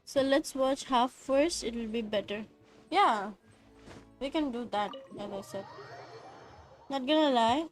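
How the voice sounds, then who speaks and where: A woman's voice: a little high, takes it slowly, long pauses, soft background music. A woman with an Indian accent giving casual game livestream commentary, slangy, teasing and joking as she reacts.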